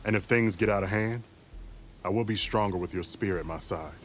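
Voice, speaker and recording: Game cast: a man as a calm veteran fighter, low voice, measured and diplomatic. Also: slight background noise, natural voice. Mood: earnest. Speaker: game character.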